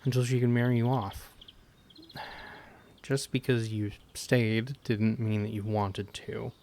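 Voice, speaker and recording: A man playing a caring, teasing adventurer who comforts a party member, in a gentle, halting, conversational amateur audio roleplay. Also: fairly low pitch, level tone, slight background noise. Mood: earnest, comforting.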